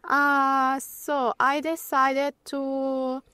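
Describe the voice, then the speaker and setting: Slow, high-voiced Italian woman. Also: level tone, sharp tone, dry recording. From Rome, Italy. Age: late 20s. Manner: slow, unhurried. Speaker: student. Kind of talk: self-introduction.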